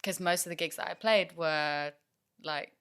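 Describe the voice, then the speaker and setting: A woman's voice: low-pitched, moderate pace, a bit bright, smooth. A young woman musician from England chatting casually in an interview, with lots of fillers and restarts. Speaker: musician guest.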